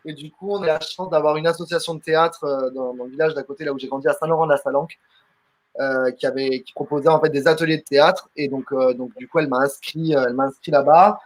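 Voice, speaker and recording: A young man in his twenties with a somewhat high voice tells his story in a relaxed interview. He is from southern France and talks loosely, full of fillers, restarts and repeated words. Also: quick pace. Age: 20s. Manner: chatty, many fillers and restarts. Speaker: guest comedian. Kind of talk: casual interview answers.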